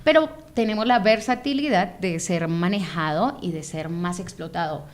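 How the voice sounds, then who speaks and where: A woman's voice: lowish voice, moderate pace. A woman from Bogotá with a Bogotá Colombian accent, a voice actress explaining her craft in an interview and demonstrating voices as she talks. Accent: Bogotá Colombian Spanish. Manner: expressive, demonstrates voices mid-sentence.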